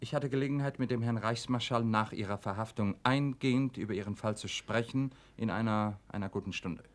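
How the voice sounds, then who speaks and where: A man's voice: fairly low pitch, a little fast, natural voice. A man acting a formal, insistent lieutenant in a radio play, speaking in a clipped, officious manner. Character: formal, insistent male lieutenant from a Nazi ministry.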